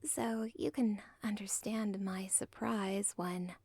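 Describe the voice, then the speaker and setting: A lovesick villain's daughter, played by a woman whispers close to the mic, rambling through asides about her own story. Her voice is gravelly and husky, and she drawls slowly. The sound is dry, with no room around it. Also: mid-range voice, steady tone, no room sound. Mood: obsessive. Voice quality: soft whisper. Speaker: audio roleplay performer. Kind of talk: ASMR audio roleplay.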